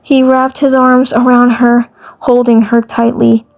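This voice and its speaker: Slow, halting woman narrating fan fiction. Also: fairly high pitch, little pitch movement, creaky, a little mellow, rough recording. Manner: halting, stumbles and repeats words as she reads. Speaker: fandub narrator.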